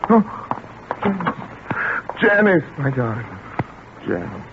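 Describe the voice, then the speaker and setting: Tormented scientist in muffled vintage radio drama. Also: male voice, medium pitch, very animated, vocal fry, noisy background, full character voice. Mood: anxious tenderness. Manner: halting, stammering, overwrought. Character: desperate, tormented male scientist and husband losing his mind. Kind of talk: 1940s American radio thriller acting. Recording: old muffled 1940s radio, music behind.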